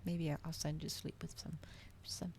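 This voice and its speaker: A woman doing a soft, hushed ASMR bedtime reading on a live stream, often slipping into whispers. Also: sits low, level tone, moderate pace. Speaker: streamer reading a book aloud.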